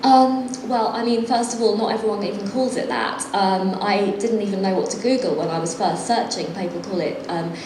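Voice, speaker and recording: A woman's voice: mid-range voice, a little fast, hardly any pauses, darkish tone, roomy sound, slight background noise, low-quality recording. A middle-aged woman author reading from her book and then chatting, self-deprecating and laughing often. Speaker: author and guest. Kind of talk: reading aloud then conversation. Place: event venue.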